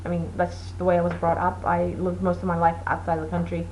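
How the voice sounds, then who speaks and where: A woman's voice: on the low side, monotone, a little fast, a little mellow, a little hiss or hum, low-quality recording. A woman whose first language is Portuguese, hosting a knitting show in a casual, rambling chat with many fillers. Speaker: knitting podcast host.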